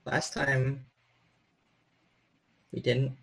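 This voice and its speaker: A man with a gravelly, husky voice acts as game master on an online call. He talks casually and slips into improvised scene narration. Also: mid-range voice, flat delivery, drawn-out words, a bit bright. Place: online group video call.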